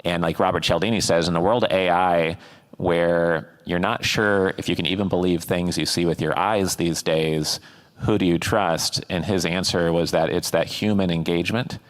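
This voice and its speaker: A man with a very deep voice hosts a fireside chat, asking long, winding questions full of fillers. His speech is loose and conversational. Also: fairly even intonation, normal speed. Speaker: interviewer and host.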